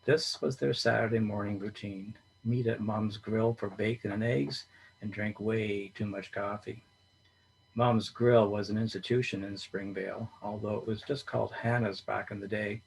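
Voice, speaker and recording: A man reading a short story aloud in a steady storytelling manner. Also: on the low side, moderate pace, gravelly, slight background noise, low-quality recording. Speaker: reader.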